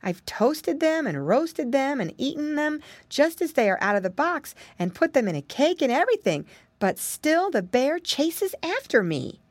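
A woman's voice: high voice, very animated, normal speed, no room sound, pitched above the performer's own voice. A woman voicing a fretful, chatty little storybook girl with a plaintive, exclamatory way of talking.

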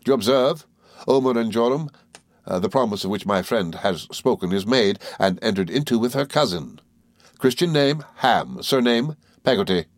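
A man playing a smooth, confident young gentleman. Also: mid-range voice, expressive, no room sound, studio quality, pitched above the performer's own voice, close to an everyday voice. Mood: teasing. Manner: smooth, teasing, deliberate.

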